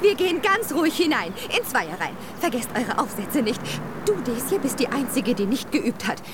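Stern, high-voiced scolding schoolmistress. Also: female voice, very animated, normal speed, noisy background. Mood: stern, threatening. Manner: sharp, bossy, threatening. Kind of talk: radio drama acting.

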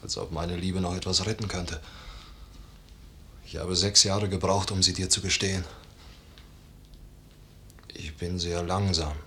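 Brooding drunkard husband, very low forceful voice, halting, bitterly ironic, guilt-ridden, radio drama, slight rumbling noise. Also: male voice, fairly even intonation, exaggerated voice. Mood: weary, hesitant. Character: guilt-ridden, drinking husband of thirty-five confessing murder, brooding. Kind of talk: German radio play acting.

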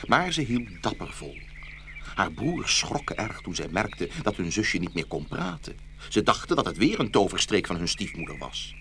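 A man's voice: low voice, very animated, moderate pace, pushed and bright, a little hiss or hum. A man narrating a fairy tale as a scripted storyteller.

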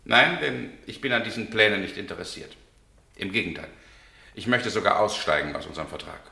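An actor in a German radio play as a proud, resentful stage star, speaking in a pointed, articulate way. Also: male voice, low voice, expressive, fairly quick, creaky, bright, forceful tone, exaggerated voice. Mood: cool, determined. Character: resentful, proud male stage actor defying his partner. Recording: roomy, echoing studio sound.

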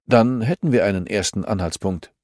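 A man's voice: fairly low pitch, normal speed, darkish tone, dry recording, studio quality, lightly acted. A man voicing a businesslike female investigator in an audiobook, firm, wry and politely insistent. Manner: matter-of-fact, politely insistent.

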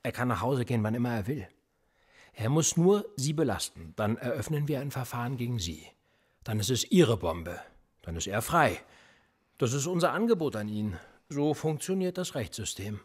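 A man's voice: medium pitch, normal speed, breathy edge. An actor playing a cool, cynical male police interrogator in a radio drama, smug and needling. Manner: smug, needling, lively intonation.

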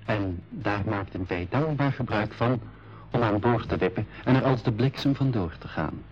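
Man as adventurer telling his story, radio play narration, deeper voice, measured, noisy muffled sound. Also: animated, natural voice. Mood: brisk, relieved. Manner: measured, literary phrasing. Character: composed male adventurer recounting his own tale. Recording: dull radio recording with clear noise.

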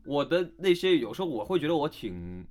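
Guy voice actor in a relaxed interview, really expressive intonation, thinks out loud and stresses his points, light music behind. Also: a little high, brisk. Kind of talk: casual panel interview chat.

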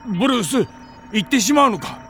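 An actor playing a kindly, worried old scientist in an audio drama, speaking in a gentle, pleading, fatherly way. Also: very high voice, lively intonation, a little fast, soft background music. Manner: gentle, pleading, elderly speech. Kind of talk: Japanese audio drama cartoon acting.

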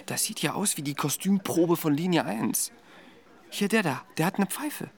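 A man's voice: a little high, animated, normal speed, vocal fry. An actor playing a grumbling, joking man in a naturalistic radio drama, with a sardonic, complaining manner. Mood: indignant, sardonic. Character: grumbling, joking male partner of an expectant woman.

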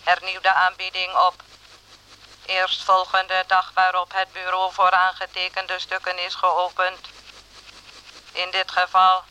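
A man's voice: high-pitched, unhurried, clear, resonant tone, exaggerated voice, poor audio. A man voicing an emotionless bureaucratic computer in a radio drama, reading official notices mechanically. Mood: impersonal, mechanical. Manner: flat, mechanical, impersonal.

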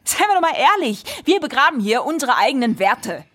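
A woman's voice: high-pitched, very animated, a little fast, fairly bright tone. A woman playing an anguished, guilt-ridden, agitated activist in a theatrical monologue, exclamatory and repetitive. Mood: agitated, desperate. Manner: exclamatory, repetitive, swinging between whisper and outburst.

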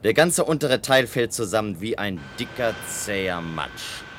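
Deep-voiced, coolly detached inventor. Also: male voice, animated, measured pace, background noise. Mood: cold, defiant. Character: brooding male inventor, coolly destroying his own great tower. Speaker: narrator and lead actor. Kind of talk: German radio drama, first-person narration and dialogue.

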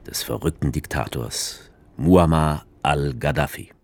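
A man's voice: a bass voice, unhurried, vocal fry, heavily acted. An actor playing a male writer who travels to Tripoli and narrates the story in a dry, ironic way. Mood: wry. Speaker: narrator as author character. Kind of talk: radio drama narration. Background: music score.

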